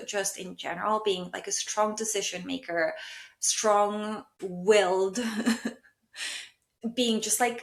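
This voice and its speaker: A woman's voice: mid-range voice, roomy sound. A woman in her thirties from Colombia, hosting a reflective solo podcast and musing aloud with many small fillers. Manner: thoughtful, self-searching, many fillers like "like" and "kind of". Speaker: podcast host and stylist.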